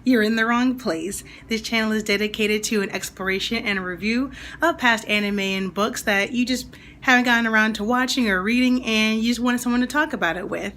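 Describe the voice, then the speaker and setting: Raspy young woman gushing about anime. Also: fairly high pitch, steady tone, brisk, a bit bright, slight background noise. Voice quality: slightly raspy. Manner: chatty and enthusiastic, rambling asides. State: recovering from a cold. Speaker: anime and book reviewer. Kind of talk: casual video review.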